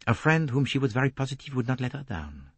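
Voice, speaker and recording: A man voicing a calm, shrewd, courteous foreign detective, speaking formally and deliberately in an audiobook. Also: mid-range voice, husky, no echo, voice pushed up, heavily acted. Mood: detached, recounting. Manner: placid, detached, formal, deliberate phrasing.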